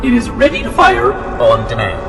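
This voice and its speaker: A man's voice: medium pitch, wildly swooping pitch, moderate pace, music in the background. A man voicing a prim, officious floating robot caretaker in a video game, with precise, chirpy diction and a robotic edge. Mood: indignant. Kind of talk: video game cutscene acting. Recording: echoey hall, distant, noisy background.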